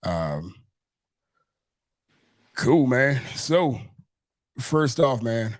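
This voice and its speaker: A man's voice: medium pitch, big pitch swings, extremely slow, dry recording, studio quality. A man from Mississippi, hosting a casual sports fan chat in a laid-back, slangy way, often restating himself. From Mississippi, United States. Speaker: host of a sports fan show.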